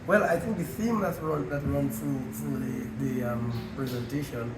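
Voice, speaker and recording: A man, his voice still hoarse from the flu, answering interview questions in a halting way and often saying "you know". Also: mid-range voice, noisy background. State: hoarse, voice not fully back after flu. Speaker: interview guest, marriage encounter presenter. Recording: echoey room with loud music behind.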